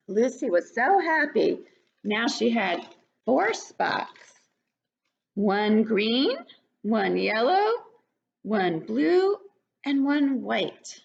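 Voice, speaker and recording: An older woman reading a picture book aloud to young children in an animated, child-directed way. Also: fairly high pitch, drawn-out words, creaky, pauses often. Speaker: children's story reader. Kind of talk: read-aloud picture book narration for preschoolers. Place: living room at home. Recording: dull, lo-fi webcam sound.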